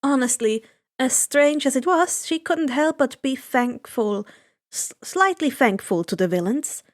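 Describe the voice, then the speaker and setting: A woman reading fan fiction aloud as expressive scripted narration. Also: high-pitched, measured pace.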